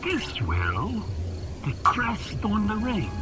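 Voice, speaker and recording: Gloating, sing-song sadistic bully villain. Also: male voice, high voice, huge pitch swings, slow, music in the background, exaggerated voice. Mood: gloating cruelty. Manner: taunting, theatrical. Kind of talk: cinematic audio drama acting.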